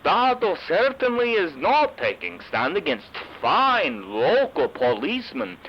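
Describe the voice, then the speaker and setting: A man's voice: a little high, wildly swooping pitch, measured pace, dull audio, slight background noise. A man voicing an odd, cheerfully evasive donut salesman who speaks broken English and talks about himself in third person, in a comic audio drama. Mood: sly, polite. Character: Dado, cheerful evasive shopkeeper of mysterious donut business. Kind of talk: comedic audio drama character acting.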